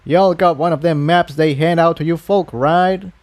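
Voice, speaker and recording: A man performs an old rustic stallion from a fantasy tale. He talks in homely country phrases, blunt but folksy, in a slightly raised pitch. The sound is very dry. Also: normal speed, darkish tone, dry recording, natural voice. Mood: placating, friendly. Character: elderly male earth pony, rustic, plainspoken, folksy townsman.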